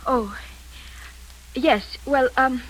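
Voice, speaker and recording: A woman's voice: high-pitched, drawn-out words, full character voice, low-quality recording. An actress playing a hesitant, stammering young woman in her twenties applying as a secretary in a 1940s radio drama. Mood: nervous, hesitant. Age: 20s. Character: nervous young Irish secretary. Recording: old radio broadcast with faint hiss.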